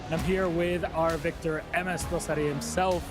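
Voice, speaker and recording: A man interviewing a winning player after a match, hyped and quick. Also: fairly high pitch, fairly even intonation, over music. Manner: hyped, fast talking.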